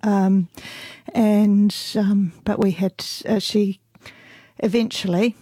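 A middle-aged woman born in England and raised in New Zealand, reminiscing casually and haltingly as a radio interview guest. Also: mid-range voice, fairly even intonation, warm tone, husky, no room sound. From born in England, grew up in New Zealand. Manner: hesitant, halting, reflective.

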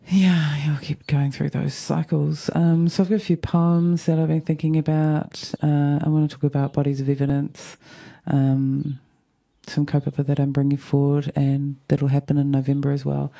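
Croaky kiwi radio host, super dark low-key voice, kinda flat, musing out loud. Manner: meandering, thoughtful, soft-spoken. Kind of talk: casual reflective solo talk.

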